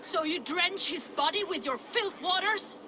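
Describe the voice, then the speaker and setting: An actor playing an enraged Viking villager who shouts accusations in a game cutscene. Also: male voice, very high voice, animated, a little slow, a crowd in the background. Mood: furious.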